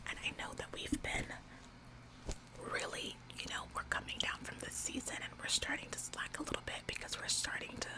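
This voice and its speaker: A woman's voice: fairly quick, close to an everyday voice, rough recording. A woman in a whispered ASMR roleplay, playing a bossy, officious store employee who repeats phrases for emphasis. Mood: self-important. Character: bossy, overzealous female retail store employee, falsely apologetic. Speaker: ASMR performer.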